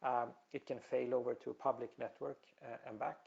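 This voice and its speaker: A man's voice: medium pitch, little pitch movement, fairly quick, gravelly, a little mellow, dull audio. A man from Sweden with a Swedish accent, giving a technical presentation with many fillers and restarts. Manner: hesitant, many fillers and repeated words. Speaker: industry presenter.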